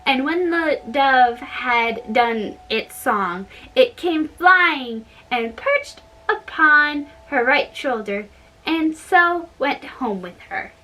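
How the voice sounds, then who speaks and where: A woman storyteller reading fairytales aloud in a halting, deliberate way. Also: sits high, expressive, pushed and bright, poor audio.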